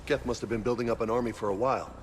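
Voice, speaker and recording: An actor playing a dry-witted, unruffled male soldier with a deadpan delivery in a video game. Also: mid-range voice, racing, slight background noise, close to an everyday voice. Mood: wry. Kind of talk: dramatic game acting.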